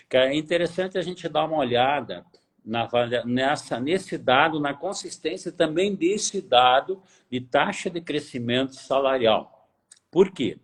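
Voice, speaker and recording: Male auditor giving a lecture, slightly raspy voice, steady explainer who rephrases and answers his own questions. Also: mid-range voice. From Brazil. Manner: rhetorical questions, pauses to reformulate. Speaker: pension auditor.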